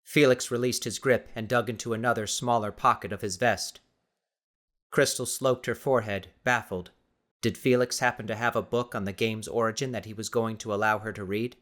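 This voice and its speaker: Bright-voiced man narrating an audiobook. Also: lowish voice, fairly even intonation, moderate pace. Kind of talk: dramatic scripted narration.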